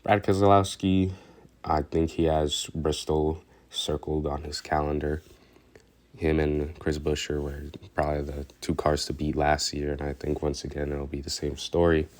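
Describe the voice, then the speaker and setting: A man's voice: rumbling low, level tone, normal speed, a little mellow. A man giving a casual, rambling recap of a stock car race, stuffed up and hesitant, full of fillers and restarts. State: congested, stuffed up. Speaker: racing commentator.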